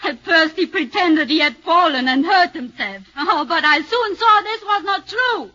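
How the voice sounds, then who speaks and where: An actress playing a cool, calculating woman witness with an Austrian accent, testifying in measured tones in a 1940s radio play. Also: extremely high pitch, lively intonation, pushed and bright, full character voice. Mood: dramatic. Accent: Austrian German. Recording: dull lo-fi old radio broadcast.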